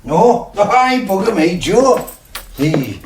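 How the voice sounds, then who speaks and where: A man's voice: high-pitched, big pitch swings, slow pace, noisy background, full character voice. An actor playing a blunt, joshing old man. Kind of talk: radio drama acting.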